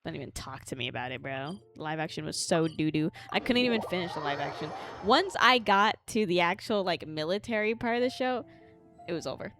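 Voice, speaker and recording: A woman's voice: on the low side, expressive, a little fast. A chatty, opinionated woman doing casual, sweary commentary while live streaming a game. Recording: game music behind the voice.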